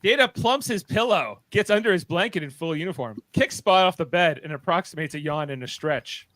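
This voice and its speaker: A man narrates a script's stage directions. His voice sits a bit high for a man, and he drops in wry, casual asides. The recording is dry. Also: animated, fairly quick, a bit bright, dry recording.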